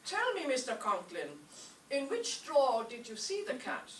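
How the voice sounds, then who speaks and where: A woman's voice: sits high, wildly swooping pitch, normal speed. A woman playing a haughty, suspicious mother with pointed, sardonic formality, in an old-time radio comedy. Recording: echoey stage, distant mic, faint noise.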